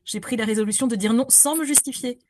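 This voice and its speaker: A woman's voice: on the high side, fast, a little mellow. A woman taking part in a workshop, sharing casually and a little hesitantly. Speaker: workshop participant.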